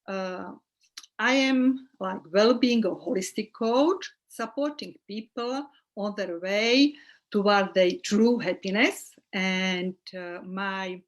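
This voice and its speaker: Middle-aged Slovak-accented woman, earnest holistic coach, mid-pitched guest voice. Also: slow pace. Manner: earnest, with small restarts and fillers. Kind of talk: casual interview chat.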